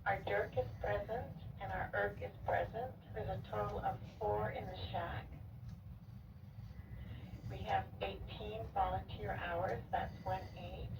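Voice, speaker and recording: A very gravelly-voiced woman reads out a formal radio report. She comes through an echoey transmission with obvious noise on it. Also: medium pitch, fairly even intonation, measured pace, distant mic. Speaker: radio station operator.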